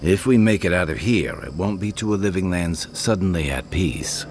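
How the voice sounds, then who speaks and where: A man voicing a loyal, weathered seafaring soldier in a video game, sincere and measured. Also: low-pitched, lively intonation, soft background music. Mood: grave, wry.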